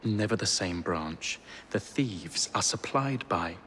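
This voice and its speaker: An actor playing an earnest, fussy Victorian police sergeant in a game, formal and slightly stuffy, with an English accent. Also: male voice, low voice, lively intonation, slow pace, pushed and bright, heavily acted. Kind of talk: video game cutscene acting. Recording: faint ambient noise.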